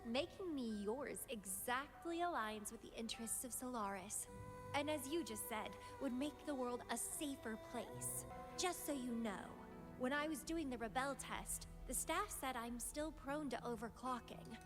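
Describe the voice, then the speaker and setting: High-voiced, teasing, obsessive young woman. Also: a little slow. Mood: fervent, devoted. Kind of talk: anime-style video game voice acting. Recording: loud music behind the voice. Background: music.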